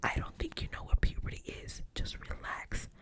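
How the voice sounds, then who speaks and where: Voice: moderate pace, close to an everyday voice. A whispering reader voicing a dry, unimpressed teenage girl. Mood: deadpan. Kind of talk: whispered screenplay reading.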